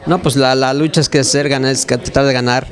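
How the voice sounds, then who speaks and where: Hesitant jockey, handheld mic. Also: male voice, medium pitch, fairly even intonation, fast. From Mexico. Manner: hesitant, many fillers and restarts. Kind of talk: on-camera interview answers. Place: racetrack clubhouse indoors. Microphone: handheld mic held by interviewer.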